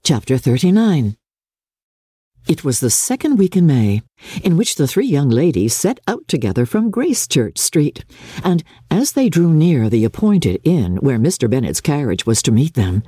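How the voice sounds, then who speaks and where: Low, mellow, expressive woman narrator. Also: breathy edge, no echo, studio quality. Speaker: audiobook narrator. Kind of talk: dramatic literary reading.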